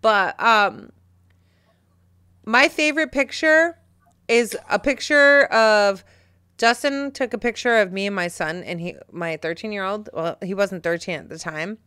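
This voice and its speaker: A woman in her thirties co-hosting a casual podcast, chatty, teasing and animated. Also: medium pitch, unhurried, clean tone. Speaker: podcast co-host. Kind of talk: casual chat.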